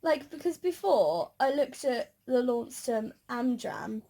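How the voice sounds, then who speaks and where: A woman's voice: fairly high pitch, big pitch swings, unhurried, warm tone. A woman with a British accent chatting casually as a vlogger. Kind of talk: casual chat.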